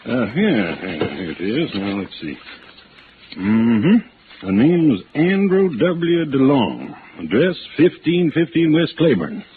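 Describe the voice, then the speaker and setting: Easygoing, uneasy small-office insurance man. Folksy, halting, animated inflection, hissy narrow-band old broadcast. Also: medium pitch. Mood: hesitant. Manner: folksy, hesitant, drawn-out. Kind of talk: old-time radio drama acting. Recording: muffled, noisy vintage radio recording.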